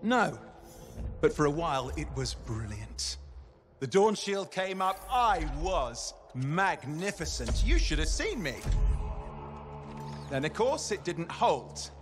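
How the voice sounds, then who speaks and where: An actor playing a flamboyant, smug young turncoat in a video game, sardonic, chatty and given to theatrical self-pity. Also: male voice, fairly high pitch, very animated, takes it slowly, soft background music. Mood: boastful, wry.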